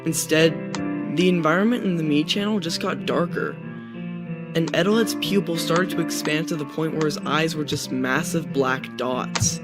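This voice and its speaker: A young man tells a scripted spooky story in the first person. His voice sits a little high for a man. Guitar music runs clearly underneath the narration. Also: moderate pace.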